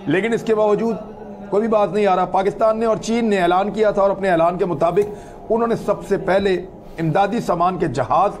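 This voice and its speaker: A man's voice: high voice, brisk. A man gives conversational news commentary as a host, speaking emphatically with repeated phrases and rhetorical questions.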